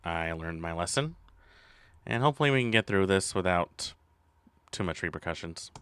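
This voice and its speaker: A man's voice: deep voice, animated, moderate pace, fairly bright tone, smooth, dry recording. A man doing casual live gameplay commentary as a streamer, muttering to himself and repeating phrases when flustered. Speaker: game streamer. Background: game music.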